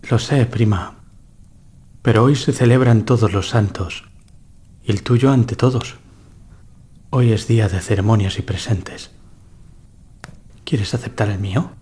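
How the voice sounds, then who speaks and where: Casting: man as tender young nobleman for gothic audio drama, lowish very husky voice, wistful and courtly. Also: unhurried, pauses often, a little mellow. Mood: gently pleading. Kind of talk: dramatised gothic tale acting.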